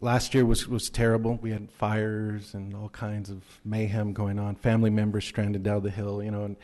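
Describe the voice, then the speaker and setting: A man on a city council speaking at a public meeting, halting with many fillers, then stern and reproachful. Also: lowish voice, flat delivery, warm tone, in a large hall. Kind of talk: formal public statement at a meeting. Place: council chamber.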